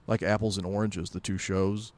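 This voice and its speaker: Low-voiced man chatting haltingly. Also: little pitch movement, a little mellow, no room sound. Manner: halting, rambling, self-correcting. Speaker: podcast co-host. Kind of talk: casual pop-culture chat.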